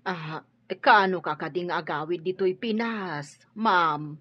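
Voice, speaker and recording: Husky, slow-spoken gentle housemaid. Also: female voice, lowish voice. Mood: sympathetic, hesitant. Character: gentle, solicitous older housemaid, respectful and sympathetic. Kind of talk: Filipino radio drama acting. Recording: dull audio with music behind. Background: music.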